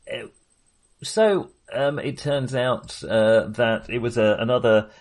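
A man's voice: mid-range voice, slow pace. A man talking casually as a podcast co-host in a film discussion, with a chatty, hesitant delivery.

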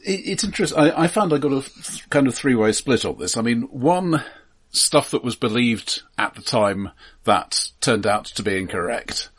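A man co-hosting an analytical but casual discussion, haltingly repeating words as he thinks. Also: mid-range voice, lively intonation, fairly bright tone, slightly rough. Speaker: film podcast co-host.

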